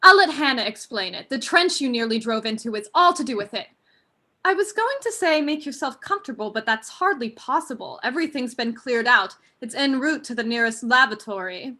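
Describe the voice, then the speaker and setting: Woman playing a bright, high-voiced breezy young daughter. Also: lively intonation, fairly quick. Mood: breezy, helpful. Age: young adult. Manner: breezy, gossipy. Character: chatty, breezy young daughter of a country house. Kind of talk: staged play reading.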